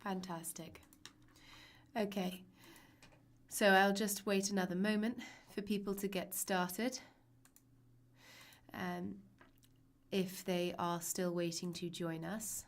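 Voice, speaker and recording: Raspy woman teaching with a cold. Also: mid-range voice, flat delivery, slow pace, long pauses. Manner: chatty and reassuring, with frequent ums. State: hoarse from a cold. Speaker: literature teacher. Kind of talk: live-streamed online lesson.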